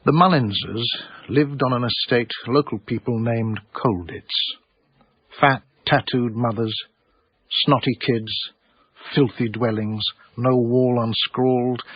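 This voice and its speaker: A man playing a cultured, sardonic art dealer with an English accent and a husky voice, narrating a radio drama in a measured, literary way. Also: medium pitch, steady tone, no echo. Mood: wry, sardonic. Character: wry, cultured, obsessive small-time art dealer narrator. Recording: dry, slightly muffled radio broadcast.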